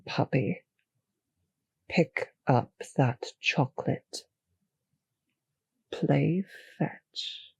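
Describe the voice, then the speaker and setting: A woman performs a seductive, possessive vampire mistress for an intimate audio drama. Her delivery is slow and condescending, a teasing purr that slips toward whispers. The voice is breathy with a crackle of fry, recorded very dry and close. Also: low voice, darkish tone, no room sound, exaggerated voice. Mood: gloating, teasing. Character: cruel, possessive, seductive female vampire mistress toying with servant. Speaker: voice actor in an audio roleplay. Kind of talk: intimate scripted audio roleplay.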